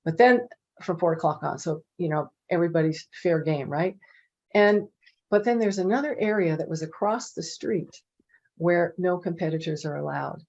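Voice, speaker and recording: A middle-aged woman on a video call speaks in a fairly low voice and tells stories in a chatty, animated way, with plenty of fillers. The sound is muffled and lo-fi. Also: normal speed. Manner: chatty, animated, many "you know" fillers. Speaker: panel presenter. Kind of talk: casual anecdotal storytelling. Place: home office with bookshelves. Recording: dull lo-fi video call audio.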